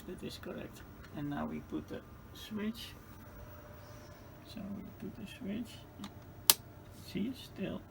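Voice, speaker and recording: A man with a heavily creaky, fry-laden voice that sits a little high talks through a hands-on technical demonstration. He explains piece by piece, haltingly, repeating phrases as he goes. Clear background noise runs under the home-made recording. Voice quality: creaky, gravelly voice. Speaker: radio repair tutorial host. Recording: clearly noisy home-made video sound.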